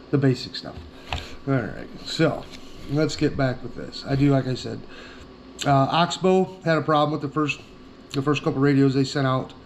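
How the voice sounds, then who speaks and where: Male product reviewer, folksy and plain-spoken, mid-range voice, casual hands-on delivery, faint noise behind. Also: medium pitch.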